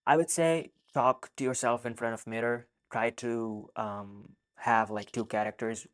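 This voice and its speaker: A young man in his twenties, from Pakistan, answering viewer questions in a soft-spoken, earnest vlog. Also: mid-range voice, fairly even intonation, unhurried. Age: 20s. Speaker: vlogger. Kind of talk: casual Q and A monologue.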